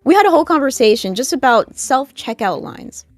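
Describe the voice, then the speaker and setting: A woman with a somewhat high voice co-hosts a casual livestream in an upbeat, teasing way. The sound is dry and close, with no room echo. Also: big pitch swings, fairly quick, faint music behind. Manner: upbeat, teasing, reads out member names. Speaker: co-host.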